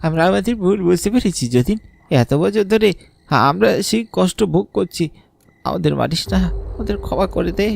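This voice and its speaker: A man's voice: high voice, expressive, brisk, gravelly, long pauses, a little mellow, exaggerated voice. A man voicing a guilty, nervous village man who pleads for his life in a cartoon. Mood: pleading. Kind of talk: cartoon voice acting.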